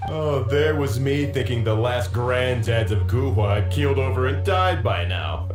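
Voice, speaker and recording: A man's voice: medium pitch, expressive, a little slow, lightly acted. An actor playing a menacing, mocking male thug who threatens and laughs at others. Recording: roomy game audio with music.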